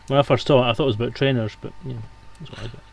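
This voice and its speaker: A man's voice: mid-range voice, racing, darkish tone. A man hosting a livestream in casual, chatty, friendly banter with guests. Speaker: stream host. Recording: clear background noise.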